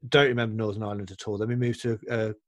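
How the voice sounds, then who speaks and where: A man with a Redditch accent, hosting a casual chat and talking in an animated, easily amused way. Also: lowish voice, rapid-fire, no echo, studio quality. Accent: Redditch, West Midlands. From Redditch, England. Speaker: host.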